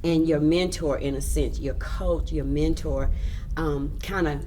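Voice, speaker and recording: A woman's voice: deep voice, fairly even intonation, unhurried, mellow, a little hiss or hum. A woman hosting a talk show interview, prompting her guest with frequent encouraging exclamations and backchannels. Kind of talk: casual interview.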